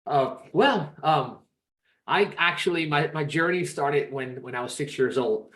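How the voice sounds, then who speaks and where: A man's voice: on the high side, measured pace, a bit bright, rough recording. Upbeat man from Peru, chatty guest. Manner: upbeat, rambling with many restarts. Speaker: podcast guest. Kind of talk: casual interview chat.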